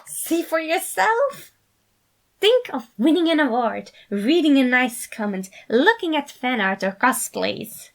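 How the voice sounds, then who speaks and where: Woman acting out a manic, mischievous man, leaping high. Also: a little slow, heavily acted. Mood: amused, excited. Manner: excitable, giggly, gushing delivery. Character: gleeful, manic, mischievous man, the dark creative side. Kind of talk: fan fiction character reading.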